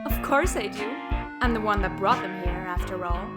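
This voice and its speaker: A woman's voice: medium pitch, moderate pace, over loud music, natural voice. A woman playing a smug, scornful young villainess in an audio drama, taunting and sarcastic. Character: smug, scornful villainous girl with mind powers.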